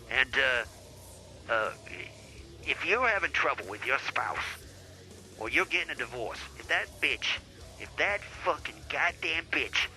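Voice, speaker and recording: A man's voice: medium pitch, pushed and bright, poor audio. A man playing a brash, boozy, foul-mouthed divorce lawyer in an improvised comedy podcast sketch, pompous and blustering.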